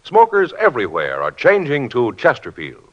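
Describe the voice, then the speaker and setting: A man's voice: mid-range voice, huge pitch swings, normal speed. An American man reading a 1950s radio advertisement in an emphatic, declarative way. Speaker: radio commercial announcer. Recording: muffled old radio recording.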